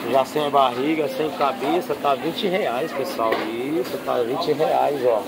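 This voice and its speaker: A man's voice: mid-range voice, very noisy. A man with a cold vlogging as he walks an outdoor street market, chatting casually with stallholders and addressing his viewers. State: has a cold. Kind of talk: casual walk-around vlog chat. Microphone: handheld phone. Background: busy market crowd.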